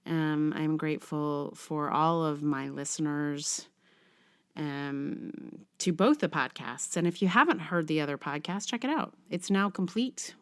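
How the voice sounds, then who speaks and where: Unhurried woman podcaster, chatting haltingly. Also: low voice, a bit bright, smooth. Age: middle-aged. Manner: halting, self-deprecating, informal. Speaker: podcast host. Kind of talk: casual podcast outro chat.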